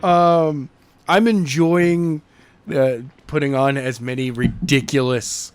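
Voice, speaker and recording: A man with a voice pitched a little higher than average co-hosts a book podcast. He talks in a casual, conversational style, as in an informal discussion between two people. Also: steady tone, takes it slowly, clean tone, no echo. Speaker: podcast co-host and amateur narrator.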